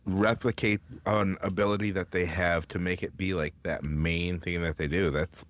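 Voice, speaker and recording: A man's voice: sits low, steady tone, normal speed, fairly bright tone. A man co-hosting a podcast, speaking in a casual, conversational way. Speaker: podcast co-host. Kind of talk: casual chat. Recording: clear music behind the voice.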